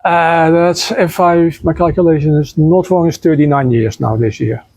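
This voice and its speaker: A man from the Netherlands, a native Dutch speaker, answers interview questions as head of a nature foundation. He speaks calmly and carefully, a little higher than most men. His voice sounds dry and close, with no room around it. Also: moderate pace, breathy edge, no room sound. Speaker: guest, nature foundation president. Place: outdoors by the beach.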